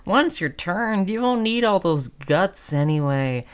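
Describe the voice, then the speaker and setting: Audio roleplay zombie, played by a voice performer: affable, wheedling undead pal, slangy sales patter, mid-pitched, slow delivery. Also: expressive, clean tone, lightly acted. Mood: coaxing, persuasive. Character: friendly, wheedling, chatty undead zombie coaxing a survivor. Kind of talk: scripted audio roleplay monologue.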